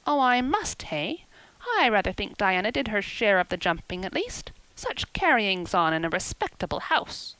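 A prim, rigid elderly lady snaps out curt, scornful remarks in a sharp voice, as a woman reader puts her on. Her pitch swings widely, and the sound is close and dry. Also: big pitch swings, normal speed, no room sound, poor audio.

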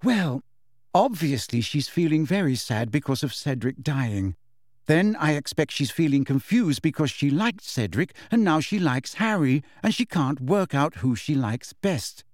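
A man's voice: on the high side, big pitch swings, measured pace, darkish tone, no echo. Audiobook role: exasperated, businesslike clever teen girl, performed by a male narrator, dry close sound. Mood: exasperated, lecturing. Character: brisk, bossy, know-it-all teenage girl.

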